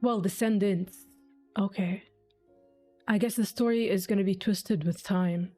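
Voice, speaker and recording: A woman streaming a game reacts in a casual, sweary way at an average pitch. She thinks aloud and sounds deeply invested in the story, with soft music playing behind her. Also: steady tone, unhurried. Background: faint game music.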